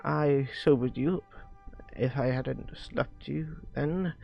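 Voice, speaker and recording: A man streaming a visual novel, reading its dialogue aloud in a halting way with stumbles and rereads. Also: mid-range voice, vocal fry, highs cut off, faint music behind.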